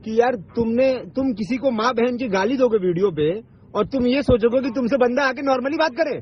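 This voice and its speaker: A man's voice: very high-pitched, brisk, a little hiss or hum. A man in a heated phone argument, confrontational and insistent, swearing and repeating himself. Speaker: online video maker. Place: phone call recording. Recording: dull lo-fi recorded phone call.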